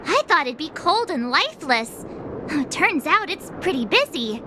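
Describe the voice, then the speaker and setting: An actress playing a perky, impatient teenage girl adventurer in a game cutscene, chirpy, expressive and informal. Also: sits high, a little slow, background noise. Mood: curious, playful. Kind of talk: video game cutscene acting.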